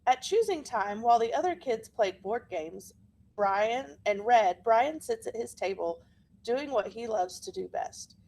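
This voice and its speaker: A woman reading a children's picture book aloud in an expressive, deliberate storytelling manner. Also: fairly high pitch, rough recording. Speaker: storybook reader.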